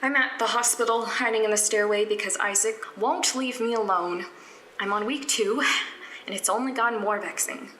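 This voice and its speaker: An actress playing an earnest, anxious young female medical intern in an audio drama diary, rambling and self-conscious with halting phrases. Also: medium pitch, fairly bright tone, echoey room, slight background noise, close to an everyday voice, rough recording. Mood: wry, exasperated. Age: young adult. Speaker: actor.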